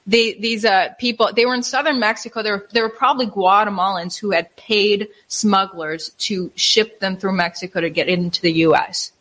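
A woman's voice: medium pitch, fairly even intonation, normal speed, hardly any pauses, fairly bright tone. A woman co-hosting a casual talk show, bringing up news stories and chatting with frequent fillers. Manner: conversational, with frequent fillers when speaking off the cuff. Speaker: co-host. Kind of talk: casual talk, reading a news story aloud.

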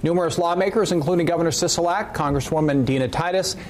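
A man's voice: a little high, fast. A man reading scripted news narration as a reporter, in a replayed news clip. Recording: clear background noise, replayed news clip.

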